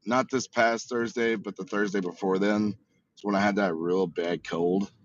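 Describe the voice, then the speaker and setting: A man chatting casually as livestream co-host, laid-back with drawn-out fillers. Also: lowish voice, steady tone. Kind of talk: casual chat. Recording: dull lo-fi mic.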